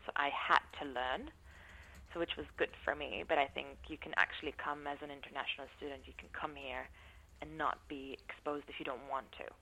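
Woman, interviewee, reflective, measured, rephrases as she talks. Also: sits low, sharp tone, low-quality recording. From not from New Zealand. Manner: thoughtful, measured, often pauses to rephrase. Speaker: interviewee, university researcher. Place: New Zealand.

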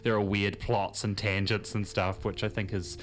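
Rambling low-voiced New man. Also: level tone, normal speed, slight background noise. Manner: rambling, many fillers, self-mocking jokes. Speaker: theatre actor guest. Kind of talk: casual interview chat. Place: studio.

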